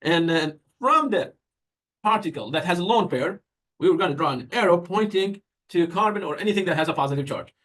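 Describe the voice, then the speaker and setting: A man's voice: high-pitched, lively intonation, brisk, fairly bright tone. A man with a Persian accent, a native Persian speaker, giving an informal university lecture in a conversational way, putting questions to students and answering them.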